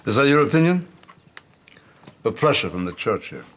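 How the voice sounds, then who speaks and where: An actor playing an impassioned defender of a banned book, arguing with pointed questions in a period drama. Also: male voice, mid-range voice, sing-song, normal speed, natural voice. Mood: probing.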